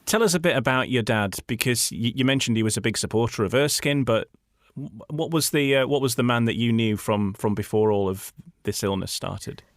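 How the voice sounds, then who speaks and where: A man's voice: mid-range voice, a little fast, few pauses, dry recording, studio quality. A man hosting a radio chat, asking questions in a conversational interview style.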